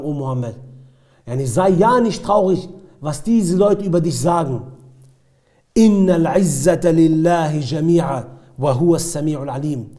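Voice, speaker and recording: A man's voice: fairly high pitch, warm tone. A man preaching an Islamic lecture, speaking emphatically, repeating key phrases and asking rhetorical questions. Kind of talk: religious lecture with recited Arabic verses and retold stories.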